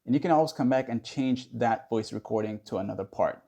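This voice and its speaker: A man's voice: mid-range voice, a little fast, creaky, dark tone. A man narrating a casual how-to tutorial in a conversational, instructional way. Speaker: tutorial host.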